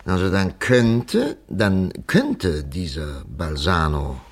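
An actor playing a brisk, commanding police commissioner in a 1950s German radio crime drama, thinking aloud and giving short orders. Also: male voice, low-pitched, very animated, full character voice. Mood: pondering. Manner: deliberating aloud, then snapping out short orders. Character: brisk commanding male police commissioner leading a murder case.

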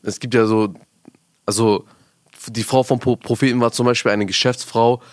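A man's voice: medium pitch, fairly even intonation, normal speed, dry recording. Young man chatting informally, dry close sound. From Afghanistan. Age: young adult. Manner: informal youth slang, frequent check-in questions. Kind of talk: casual conversational discussion.

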